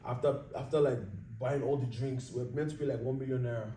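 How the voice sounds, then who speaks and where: A young man with a Nigerian accent tells stories quickly and with a lot of energy, laughing as he talks. His voice sits in an echoey room, picked up by a mic some distance away with faint noise behind it. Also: medium pitch. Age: young adult. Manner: animated storytelling, laughing often, says "bro" a lot. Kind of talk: casual chat.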